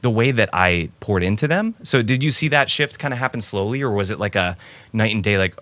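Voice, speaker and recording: Podcast host, man about 40, very low pitch; relaxed, easygoing questions. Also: a little fast, a little hiss or hum. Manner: frequent short affirmations, relaxed questions. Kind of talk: casual interview.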